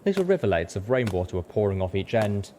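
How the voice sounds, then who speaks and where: A man's voice: sing-song, quick pace, mellow. A man reading a story aloud as a dramatic audiobook narrator. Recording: rumbling background noise.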